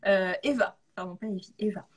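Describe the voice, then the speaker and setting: A woman's voice: medium pitch, brisk. A woman in a casual live chat, explaining tarot readings in an informal, enthusiastic way. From of Italian descent. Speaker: guest tarot reader and author.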